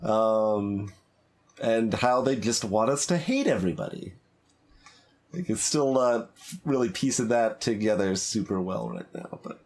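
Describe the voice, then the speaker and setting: A man's voice: fairly low pitch, expressive, measured pace, a little mellow. A man doing casual gameplay commentary as a streamer, thinking aloud in a hesitant way with stammers and repeated phrases. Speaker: gaming streamer doing a blind playthrough. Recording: game music behind the voice. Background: video game music.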